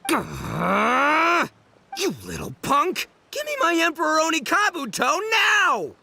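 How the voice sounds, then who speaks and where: A dim loudmouth oni dude, anime game, super animated n boisterous, bright punchy voice. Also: male voice, extremely high pitch, takes it slowly, gravelly, slight background noise, heavily acted. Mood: shocked, indignant. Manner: boisterous, theatrical, slangy exclamations. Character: brash, loud, dim-witted good-hearted young male oni gang leader. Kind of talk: anime video game voice acting.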